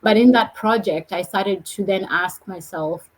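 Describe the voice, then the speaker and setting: A woman from Kenya, a guest on a podcast, telling her story in a reflective, measured way. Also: medium pitch, rough recording. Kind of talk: podcast conversation.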